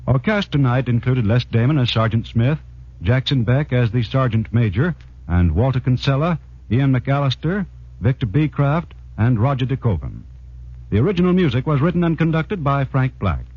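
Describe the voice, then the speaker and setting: A man with an American accent working as a network announcer, speaking formally and deliberately in 1940s radio style. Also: medium pitch, expressive, breathy edge. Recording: dull 1940s radio broadcast recording.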